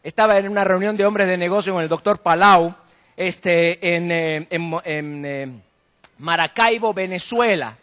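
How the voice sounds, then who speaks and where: A man's voice: sits high, a little slow, a bit bright. A man from Argentina with an Argentine accent preaching in a conversational, colloquial way, often tagging statements with rhetorical questions. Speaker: guest preacher. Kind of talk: conversational sermon with jokes.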